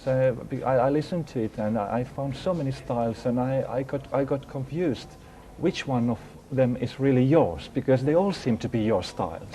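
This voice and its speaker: Male TV host, mid pitch, mellow, stumbling questions, faint hiss. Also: normal speed, few pauses. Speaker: TV interviewer. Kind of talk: TV interview.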